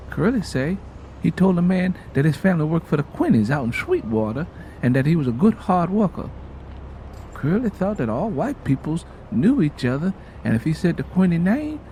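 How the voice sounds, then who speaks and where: Audiobook role: world-wise Southern mother, done by a male reader, frank, folksy storyteller, soft-edged tone, some background noise. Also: a little high. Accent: American South.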